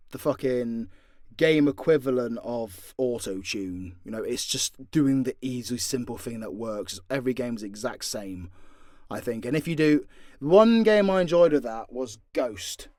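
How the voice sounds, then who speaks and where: A man's voice: medium pitch, lively intonation, normal speed, darkish tone, no echo. Sweary British man chatting casually, dry sound. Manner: sweary, blunt, informal. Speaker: audio drama creator and voice actor answering fan questions. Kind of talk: casual, unscripted Q&A chat.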